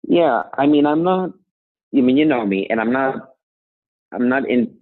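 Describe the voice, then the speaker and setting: A man in his thirties from Colombia, a guest talking casually about his work, relaxed and laughing lightly, often restarting phrases. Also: medium pitch, normal speed, no echo. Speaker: guest, software engineer. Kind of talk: casual conversational interview. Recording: tinny phone-like sound, dry.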